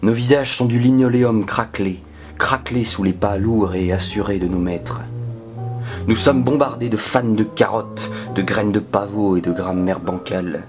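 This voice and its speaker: A man performing a dramatic spoken-word poetry reading in a solemn, measured manner that can sink toward a whisper. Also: lowish voice, steady tone, music in the background. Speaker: poetry reader.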